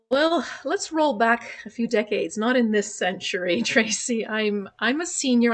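Candid older woman, German native speaker. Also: fairly high pitch. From Canada. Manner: candid, self-deprecating, with frequent fillers. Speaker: guest. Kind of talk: casual interview conversation. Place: remote podcast call.

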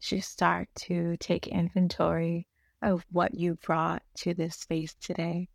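A woman guiding a meditation in a soothing, gentle manner, giving unhurried instructions with long pauses between short phrases. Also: fairly low pitch, completely flat delivery, creaky, no echo, studio quality. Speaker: meditation guide. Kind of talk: guided meditation narration.

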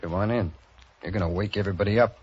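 A man's voice: sits low, fast, a little hiss or hum, close to an everyday voice. An actor playing a tough but regretful male gunman with a terse, menacing delivery in a 1940s radio noir. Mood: menacing, calm. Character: tough, regretful gunman betraying his old friend. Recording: dull old-time radio recording.

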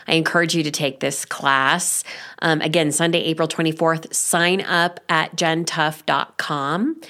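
Chatty female podcast host, slightly low voice, stuffy and nasal from a cold, talking over a video call. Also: a bit bright. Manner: upbeat, chatty, frequent ums. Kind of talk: casual podcast chat and announcements.

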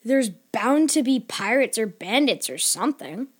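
An actor playing an excitable, combative boy in a children's audio drama, with a brash, teasing manner. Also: medium pitch, a little slow. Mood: impatient, eager. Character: excitable, combative boy eager for pirates and scrapes.

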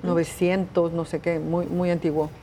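A woman from Mexico speaks in a low, unhurried voice, working out her answers aloud with small fillers. She is a guest in a casual interview, and faint room noise sits under her voice. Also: flat delivery, warm tone. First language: Spanish. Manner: thinks aloud with pauses and fillers. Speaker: guest, tour company owner.

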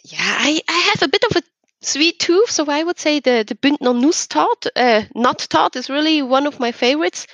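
A woman's voice: high voice, expressive, normal speed, a bit bright, dry recording, lo-fi sound. Woman explaining, close dry sound. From eastern Switzerland. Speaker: podcast guest, regional tourism representative. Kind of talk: conversational interview.

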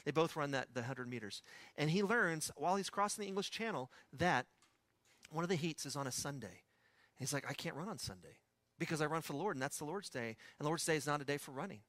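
West texas pastor guy preaching, chatty storyteller, bright punchy voice, dry close sound. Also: a little high, lively intonation, fast, no echo. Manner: conversational storytelling with asides. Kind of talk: sermon.

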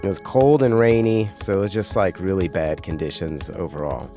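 A middle-aged man hosting a solo podcast, sounding hoarse and congested as he talks in a rambling, casual way. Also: low voice, normal speed, warm tone, soft background music. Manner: rambling, conversational, self-correcting. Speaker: podcast host.

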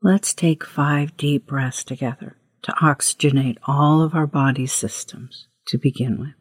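A woman leading a guided meditation as host, with a hushed, breathy voice and gentle, unhurried instructions. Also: sits low, no echo, studio quality. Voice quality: breathy, husky, often near whisper.

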